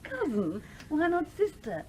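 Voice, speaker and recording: Casting: arch, mocking other woman, radio play. Soft-toned, lively intonation, noisy background. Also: high voice, unhurried, heavily acted. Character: sardonic, amused red-haired mistress.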